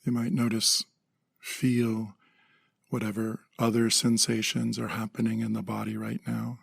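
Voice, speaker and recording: Low, gravelly man guiding meditation. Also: dry recording, clean studio sound. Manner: calm, gentle, measured phrasing with pauses. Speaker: meditation guide, therapist. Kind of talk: guided meditation.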